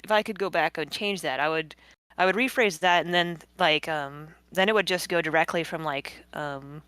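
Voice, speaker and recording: A woman's voice: low-pitched, moderate pace, a bit bright, clear, resonant tone, no echo. A woman giving casual behind-the-scenes commentary as a comic creator, rambling and thinking aloud as she goes. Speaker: webcomic creator guest.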